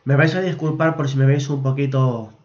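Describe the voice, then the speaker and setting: Hoarse Spaniard, blunt commentator, muffled lo-fi home recording. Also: male voice, mid-range voice, steady tone, brisk, a little mellow. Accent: Spanish from Spain. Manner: blunt, rambling, informal. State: hoarse from a cold. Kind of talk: casual reaction commentary. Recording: dull lo-fi sound.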